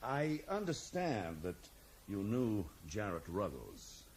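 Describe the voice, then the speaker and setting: A cool investigator in a 1980s radio play. He is deliberate and businesslike, yet his pitch moves in a lively way. There is faint noise on the recording. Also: full character voice. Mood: cool, probing. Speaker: actor. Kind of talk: 1980s radio drama acting.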